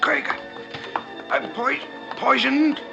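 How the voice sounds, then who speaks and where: An actor playing an anxious, fatalistic male private eye in a 1950s radio drama, speaking in a nervous, halting, mournful way. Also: very high-pitched, creaky, muffled recording, music in the background, exaggerated voice. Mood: weak, despairing.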